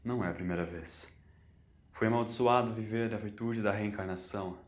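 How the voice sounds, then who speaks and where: A man's voice: fairly low pitch, a little fast, reverberant, natural voice. A man voicing a weary, bitter immortal alien in human form for an audio story, solemn and anguished.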